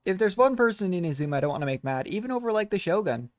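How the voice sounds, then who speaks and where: A woman giving low-key, musing casual commentary while playing a game. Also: animated, very fast, slight background noise. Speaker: gameplay streamer. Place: indoors, over game audio. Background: faint game music.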